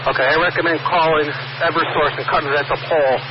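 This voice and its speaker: A higher-voiced man gives procedural instructions as an emergency responder on a two-way radio. The scanner audio is muffled, with clear noise throughout. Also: fairly even intonation, fast talker. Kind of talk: emergency radio dispatch chatter.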